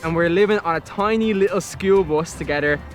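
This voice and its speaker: A man's voice: a little high, brisk, faint music behind. A man in his twenties with an Irish accent, talking with cheeky, playful bravado in a cast introduction interview. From Ireland. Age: 20s. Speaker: reality show contestant.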